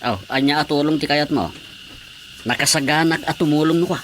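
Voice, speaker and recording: An actor playing a village officer, impatient but willing to help, coaxing in a radio drama comedy with a Filipino accent. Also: male voice, fairly high pitch, a little fast, fairly bright tone, lightly acted. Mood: eager to help. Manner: coaxing, questioning. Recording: faint background noise.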